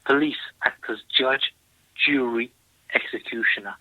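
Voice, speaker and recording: A man's voice: mid-range voice, measured pace, dry recording. Earnest man calling in on a phone line. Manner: earnest, emphatic, repeats points. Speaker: caller. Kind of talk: phone-in call to a radio show.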